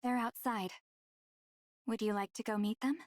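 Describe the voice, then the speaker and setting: A woman playing a calm, polite female caretaker in an anime game dub, speaking with composure. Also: a little high, moderate pace, no room sound, clean studio sound, lightly acted. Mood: calm, helpful.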